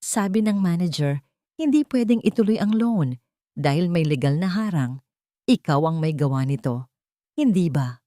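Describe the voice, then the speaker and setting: A woman's voice: on the low side, lively intonation, normal speed, long pauses, mellow, no room sound, clean studio sound, natural voice. A woman voicing a hot-tempered, domineering husband in an audio story, confrontational and demanding. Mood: angry accusing.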